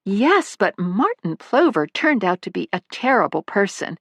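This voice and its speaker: A woman voicing a fussy older woman who dotes on her cats, speaking shrilly and high. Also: very animated, moderate pace, dry recording, clean studio sound. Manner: shrill and high, frantic when upset. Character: fussy older woman, doting cat lady.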